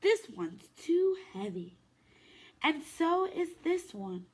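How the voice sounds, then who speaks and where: A woman preschool teacher reading a picture book aloud to young children in an expressive, sing-song way. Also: extremely high pitch, slow. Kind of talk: children's story time read-aloud.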